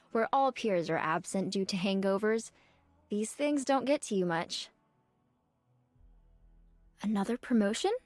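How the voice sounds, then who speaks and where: A woman voicing an earnest, dutiful young nun archer in a video game, speaking politely and formally in measured phrases. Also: medium pitch, no room sound, lightly acted. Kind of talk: scripted game character voice lines. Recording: clean dry studio, music behind.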